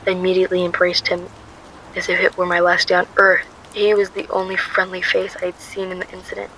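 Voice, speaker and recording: A bright, forceful voice of a young woman on the run played by a voice actress, frightened yet determined. She tells and acts out her story in the first person, as in a radio drama. Faint noise sits behind her. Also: fairly low pitch, completely flat delivery, brisk, poor audio. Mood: hurried.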